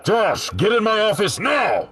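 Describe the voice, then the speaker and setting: A man playing a folksy, gruff police chief with a prankish streak at a film script table read. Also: high-pitched, very animated, measured pace, fairly bright tone, soft background music, full character voice. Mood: barking.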